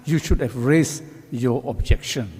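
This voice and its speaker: Husky Malaysian male assembly speaker, echoing hall. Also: medium pitch, measured pace, darkish tone. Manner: firm, official. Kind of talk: formal procedural ruling. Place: large legislative chamber. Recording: echoey hall with long reverb.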